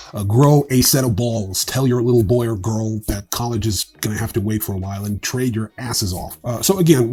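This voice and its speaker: A man's voice: lowish voice, mellow, slightly rough. A man hosting a news show, delivering informal scripted commentary in a blunt, wry, opinionated manner. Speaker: host of a crypto news show.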